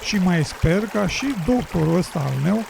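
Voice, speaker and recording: An actor playing a weary, ailing road builder in an educational radio drama, gravelly and husky, with a plaintive storytelling manner. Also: male voice, high-pitched, background noise. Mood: hopeful.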